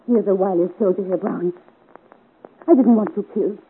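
A woman's voice: medium pitch, brisk, natural voice. A woman acting a secretive, troubled young Parisian woman, earnest and dramatic, in 1950s radio serial style. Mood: confiding. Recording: narrow-band, hissy 1950s radio recording.

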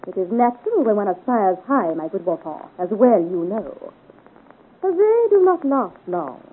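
A woman's voice: mid-range voice, sing-song, normal speed, breathy edge. A woman playing a haughty, scheming French noblewoman villain in a 1950s radio serial, imperious and theatrical in her commands. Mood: sharp, imperious. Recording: muffled vintage radio serial recording.

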